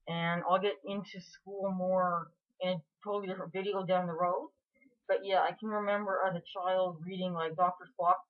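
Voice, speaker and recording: A woman in her twenties from Canada, talking frankly and earnestly to camera in a casual vlog. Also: on the low side. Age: 20s. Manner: frank and earnest, with frequent restarts and fillers. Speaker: vlogger. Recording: tinny phone-like sound.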